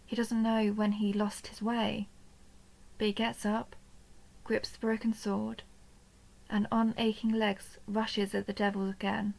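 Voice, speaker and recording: A woman playing a seductive, possessive vampire countess in an intimate audio roleplay, speaking slowly and teasingly, often dropping toward a breathy whisper. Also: medium pitch, level tone, a little mellow, low-quality recording. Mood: soft, musing. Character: seductive possessive vampire countess toying with her human captive. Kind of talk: intimate dark romance audio roleplay, ASMR-like.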